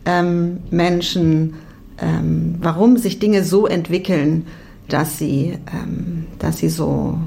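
A woman from Berlin in her forties answering interview questions thoughtfully, often pausing and restarting her sentences. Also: fairly low pitch, fairly even intonation, creaky, mellow. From Berlin, Germany. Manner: thoughtful, many restarts and hesitations. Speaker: psychology professor.

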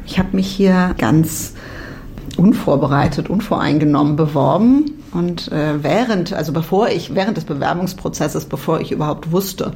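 A woman from Berlin in her forties answering interview questions thoughtfully, often pausing and restarting her sentences. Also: low voice, darkish tone, a little hiss or hum. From Berlin, Germany. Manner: thoughtful, many restarts and hesitations. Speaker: psychology professor.

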